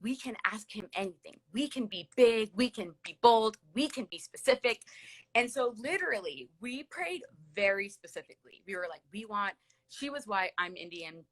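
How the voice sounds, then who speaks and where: A woman's voice: medium pitch, expressive, moderate pace, rough recording. A woman in her late thirties with an American accent, telling personal stories in a chatty, casual way as a livestream guest. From California, USA. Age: late 30s. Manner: chatty, peppered with "like" and "literally".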